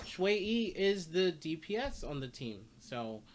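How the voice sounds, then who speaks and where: Higher-voiced man commentating gameplay over noise. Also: very animated, unhurried. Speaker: gaming channel host.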